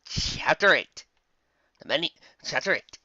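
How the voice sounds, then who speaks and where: A man reading a story as a scripted narrator, with dramatic, expressive delivery on a muffled, dry home recording. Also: a little high, a little slow, dry recording. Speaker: fan fiction narrator.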